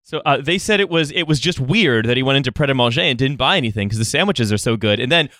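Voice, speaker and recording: A man's voice: mid-range voice, lively intonation, quick pace, bright, forceful tone, no echo, studio quality. A man hosting a podcast, chatting casually about the news in a sarcastic, satirical way. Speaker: podcast host.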